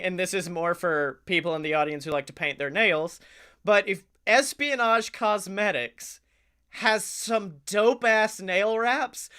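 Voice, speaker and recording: A man chatting casually as a comedy podcast co-host, enthusiastic, talkative and quick to swear. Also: high-pitched, bright, forceful tone, clean tone.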